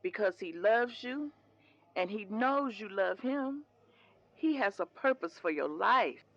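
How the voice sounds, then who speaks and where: A woman's voice: mid-range voice, lively intonation, takes it slowly, fairly bright tone, full character voice. Devout older mother, muffled recording. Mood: gently counseling. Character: devout older mother, firm but tender. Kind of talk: audio drama acting.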